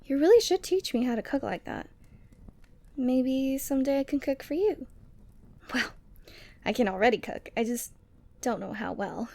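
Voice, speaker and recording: A woman acts out a doting yeti girl for an ASMR roleplay. The voice sits fairly high for a woman. She is tender and teasing, coaxing softly with breathy sighs and a lonely fondness. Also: lively intonation, moderate pace, pauses often. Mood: warm, chatty. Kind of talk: ASMR roleplay audio drama.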